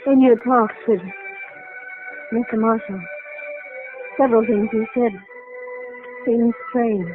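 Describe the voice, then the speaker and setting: An actress playing an earnest, lovestruck and troubled young woman in a mid-century radio melodrama, measured and dramatic. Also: a little high, fairly even intonation. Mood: anxious, tender. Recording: tinny vintage radio recording, music behind.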